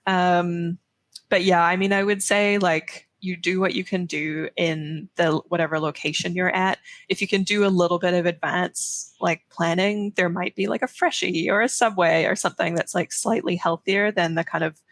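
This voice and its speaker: A woman from New Zealand with a New Zealand accent, a dietitian guest talking in a relaxed way with many fillers on a podcast. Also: fairly low pitch, normal speed, dry recording. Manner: relaxed, lots of "like" and "um". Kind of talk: casual podcast interview.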